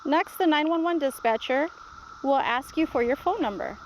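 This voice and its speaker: A woman's voice: high-pitched, expressive, normal speed, a little hiss or hum, poor audio. A woman voicing a patient, reassuring grandmother who explains things simply in a children's storybook read-aloud. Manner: reassuring, instructive.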